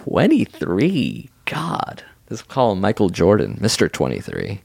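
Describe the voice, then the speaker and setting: A man in his thirties co-hosts a chatty comedy podcast, mock-lecturing his friend and breaking into laughter. His voice sits very low and crackles with fry, yet swings up and down a lot. It is recorded close and dry. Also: huge pitch swings, a little slow, darkish tone, no room sound. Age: 30s. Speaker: podcast co-host. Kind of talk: casual comedic chat, reading listener email.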